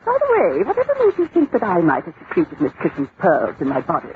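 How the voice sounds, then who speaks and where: A woman's voice: fairly low pitch, huge pitch swings, fast talker. A woman playing a haughty, meddling middle-aged society lady in an old radio drama, grand and affected. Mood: affronted. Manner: grand, affected, easily indignant. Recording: tinny old radio recording, faint noise.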